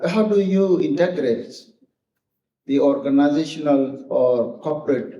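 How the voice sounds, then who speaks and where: Very dark-voiced man from South Sudan. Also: on the high side, muffled recording. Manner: polite, measured, hesitant. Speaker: audience member asking a question. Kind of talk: live Q&A question. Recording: echoey hall, distant mic.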